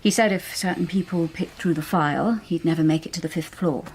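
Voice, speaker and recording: A woman's voice: lowish voice, a little fast, darkish tone, a little hiss or hum, close to an everyday voice. An actress playing a shrewd, secretive British intelligence officer in a radio drama, intimate and pointed in her delivery. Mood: confiding.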